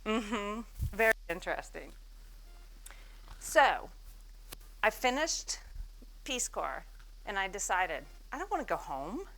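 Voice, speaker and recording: A woman's voice: medium pitch, big pitch swings, takes it slowly, pauses often, a bit bright, low-quality recording. A woman in her forties giving a business talk on stage, telling her story with self-mocking humor and acting out the people she quotes. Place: event stage before an audience.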